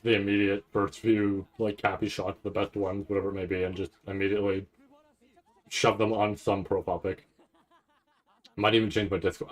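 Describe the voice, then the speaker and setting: A man doing casual livestream reaction commentary, rambling and stammering over repeated words with a nervous, excitable manner. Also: fairly low pitch, rough recording. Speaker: streamer reacting to a live anime event.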